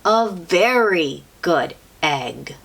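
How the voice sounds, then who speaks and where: A woman reading a children's picture book aloud as a storyteller, expressively and theatrically, with drawn-out emphasis. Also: lowish voice, lively intonation, creaky, fairly bright tone, breathy edge.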